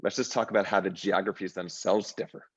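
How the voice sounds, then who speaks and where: A man moderating a fireside chat, introducing the guest in a friendly, measured way. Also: low-pitched, fairly even intonation, breathy edge, lo-fi sound.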